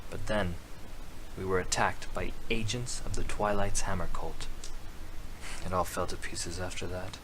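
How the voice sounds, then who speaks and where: A man's voice: low-pitched, steady tone, measured pace, long pauses, background noise. A man playing an earnest young human prince who recounts events thoughtfully and carefully.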